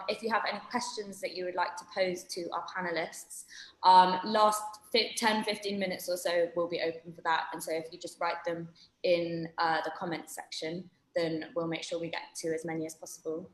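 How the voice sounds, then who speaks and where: Chatty woman hosting an echoey video call. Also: lowish voice. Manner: chatty, many fillers and restarts. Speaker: webinar host. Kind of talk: online panel hosting.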